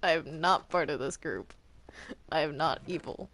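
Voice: mid-range voice, normal speed, a bit bright, rough recording. A person playing a defensive, reluctant cartoon demon in an improvised Minecraft roleplay, indignant and sheepish by turns.

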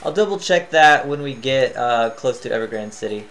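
A tired man giving casual, chatty commentary as he plays a video game, thinking out loud and humming to himself. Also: mid-range voice, animated, normal speed, faint music behind. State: tired, voice near cracking. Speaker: gaming channel host.

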